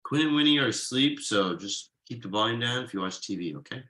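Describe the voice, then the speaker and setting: A man's voice: mid-range voice, animated, fairly quick, pushed and bright. A man reading the part of a forbearing, drily sarcastic husband and father in a conversational comedy table read, with roomy echo. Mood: patient, wry. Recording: roomy, echoey online video call.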